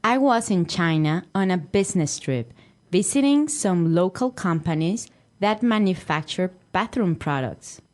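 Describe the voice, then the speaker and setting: A woman acts out a friendly young business traveler from Mexico in a lesson dialogue. Her delivery is slow and careful, with very lively intonation. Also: fairly low pitch. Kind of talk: acted language-lesson dialogue.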